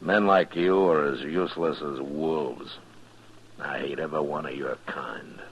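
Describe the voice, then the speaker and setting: An American actor playing a stern, steady frontier lawman in an old radio Western, husky and terse with a dry, unflinching delivery. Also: rumbling low, a little slow, lo-fi sound, a little hiss or hum. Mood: stern, contemptuous. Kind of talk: 1950s Western radio drama acting.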